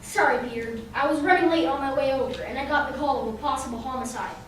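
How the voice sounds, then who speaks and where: Woman playing an earnest detective, high voice, echoing hall. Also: fast, fairly bright tone. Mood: businesslike. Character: earnest male police detective at a high school reunion. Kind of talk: old-time radio drama acting. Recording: very echoey hall, distant mic, faint noise.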